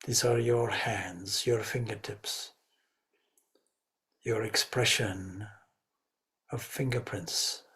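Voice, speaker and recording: A man leading a guided meditation as a spiritual teacher, speaking reverently in short, measured phrases. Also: lowish voice, fairly even intonation, fairly bright tone.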